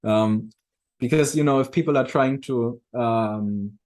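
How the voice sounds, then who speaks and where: A man with a mid-pitched voice talks in a casual, conversational way. He is reflective and halting, often doubling back to restart a phrase before he finishes the thought. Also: darkish tone. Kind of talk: casual discussion.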